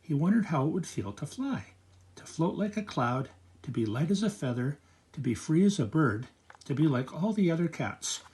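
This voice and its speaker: Older man with a lively, creaky storytime voice. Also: fairly high pitch, normal speed, poor audio. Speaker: grandfather reading picture books aloud. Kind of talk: expressive children's storytime narration.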